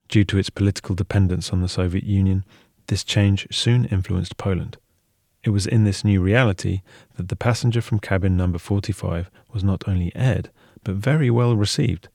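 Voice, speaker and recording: Male documentary narrator, scripted read, husky edge, close dry sound. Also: lowish voice, a little mellow, no echo.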